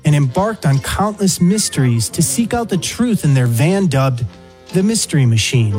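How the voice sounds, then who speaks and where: Male narrator, scripted documentary read, lighter high register, faint music bed. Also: big pitch swings, moderate pace, darkish tone.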